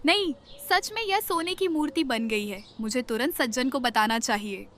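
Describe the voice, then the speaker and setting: Greedy village wife for a cartoon, played by a woman: high-pitched, hard bright tone, sharp-tongued and nagging. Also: animated, normal speed, a little hiss or hum. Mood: amazed. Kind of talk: animated moral story acting.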